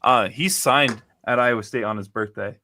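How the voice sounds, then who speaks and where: Young male host, bright and punchy, leads the rundown. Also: medium pitch, normal speed, slight background noise. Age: 20s. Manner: chatty, leads the segments. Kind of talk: casual sports chat.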